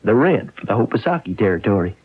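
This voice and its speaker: A man playing a smooth-talking, evasive con-man husband, wheedling in a folksy, stammering way in a radio drama. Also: fairly low pitch, big pitch swings, fast. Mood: evasive sweetness. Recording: muffled old radio drama recording.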